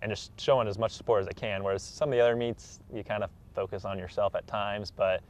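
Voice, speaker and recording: Young American man, lowish pitch, college athlete in a casual interview, earnest and unpolished. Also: steady tone, quick pace, a little mellow. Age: young adult.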